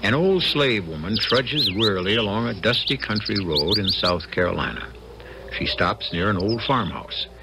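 A man's voice: lowish voice, animated, normal speed, very noisy. A man hosting and narrating a radio mystery drama in a dramatic, confiding manner. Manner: dramatic, confiding, addresses listener. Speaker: host and narrator. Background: music.

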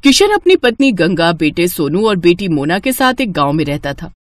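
Female narrator for a moral village story: animated, scripted storytelling delivery. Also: on the low side, normal speed, a little hiss or hum.

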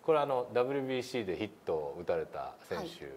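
A man's voice: on the low side, very animated, fast talker, a little mellow, slight background noise. A middle-aged man instructing politely in a meeting room, with many hesitations as he explains. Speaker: pronunciation instructor demonstrating an app. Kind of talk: explanatory lesson with polite questions.

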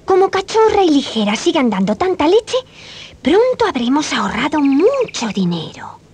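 A woman's voice: high voice, wildly swooping pitch, normal speed, darkish tone, a little hiss or hum. A woman playing a cheerful, dreamy young milkmaid girl in a children's storybook tape, with a Castilian Spanish accent. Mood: dreamy, hopeful. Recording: 1980s cassette, music behind.

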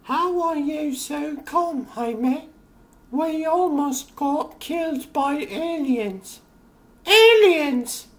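Guy doing a slow talking high teen girlfriend, bossy n exasperated, comic dub. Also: lively intonation, a bit bright, full character voice. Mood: anxious. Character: bossy, exasperated teenage girl, sharp-tongued girlfriend. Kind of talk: amateur comic-book dub acting. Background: music.

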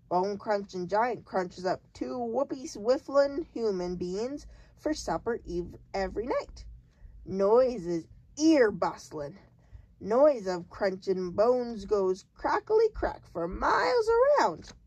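A giant man, enormous and good-humoured, booms every line at a slow, heavy pace, as a woman reading a storybook aloud puts him on. He mangles his grammar and tumbles into invented words. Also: big pitch swings, a little mellow, low-quality recording. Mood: booming. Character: huge booming male giant, jolly and ungrammatical.

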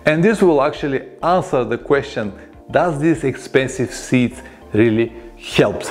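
Male vlogger, 40s, Bulgarian accent, mid-pitched, lapel mic in a home garage. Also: unhurried, soft background music. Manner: animated, gestures a lot, chatty with non-native grammar. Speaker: motorcycle travel vlogger. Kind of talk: casual storytelling to camera. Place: home motorcycle garage workshop, indoors.

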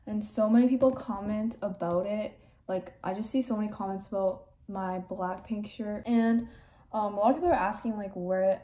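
A woman's voice: mid-range voice, dark tone. A seventeen-year-old girl from Canada, chatting casually to the camera in her bedroom, upbeat and talkative with lots of "like" and "literally". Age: 17, teenager. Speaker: vlogger. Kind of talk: casual vlog chat to camera. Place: bedroom at home, indoors.

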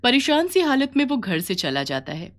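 A woman's voice: fairly high pitch, big pitch swings, normal speed. Woman narrating a scripted story.

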